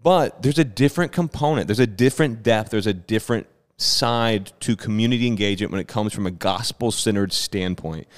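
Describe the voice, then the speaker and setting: A man's voice: fairly low pitch, brisk, few pauses. A man hosting a church podcast, interviewing conversationally in an earnest, affirming manner. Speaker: podcast host, church leader.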